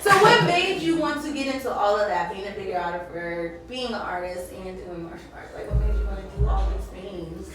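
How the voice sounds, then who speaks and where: An American woman chatting casually as an interviewer, with an animated, emphatic, slangy way of talking. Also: mid-range voice, fairly quick, hardly any pauses. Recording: echoey room, distant mic, music behind. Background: music.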